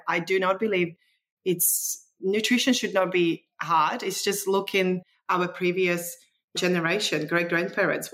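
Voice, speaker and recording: A woman's voice: on the low side, a bit bright. A woman from the former Czechoslovakia, a nutritionist guest, telling stories in a chatty, enthusiastic way with non-native phrasing. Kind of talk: casual conversational storytelling.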